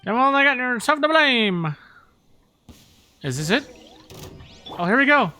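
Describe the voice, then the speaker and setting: A woman giving casual, excitable live commentary as she streams a game, playfully reacting. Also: high voice, unhurried, faint music behind.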